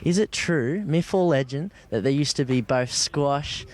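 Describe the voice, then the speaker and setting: A man's voice: fairly high pitch, measured pace, darkish tone. Young Australian man interviewing for sport. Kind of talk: local TV interview.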